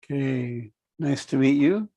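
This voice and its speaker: A middle-aged man hosting an online meditation class over a video call, speaking calmly and in a measured way. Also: medium pitch, dry recording. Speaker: host. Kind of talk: friendly online class introduction.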